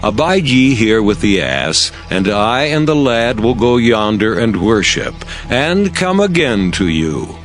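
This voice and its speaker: A man's voice: fairly low pitch, sing-song, measured pace, few pauses, faint music behind. An actor playing a devout, solemn patriarch and father in a dramatized Bible, grave and declamatory. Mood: devout, willing. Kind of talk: dramatized Bible audio acting.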